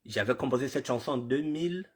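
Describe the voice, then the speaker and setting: A husky-voiced man hosts a live commentary show. He has a cold and speaks at a middling pitch. He greets viewers formally, repeats himself and slips into Lingala. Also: a little fast. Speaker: online show host.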